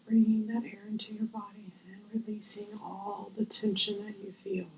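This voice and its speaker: A woman guiding a visualization as host, gentle and unhurried with long pauses, her voice breathy and often near a whisper. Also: mid-range voice, little pitch movement, slight background noise, poor audio. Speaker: guided meditation host. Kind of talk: guided visualization, meditation.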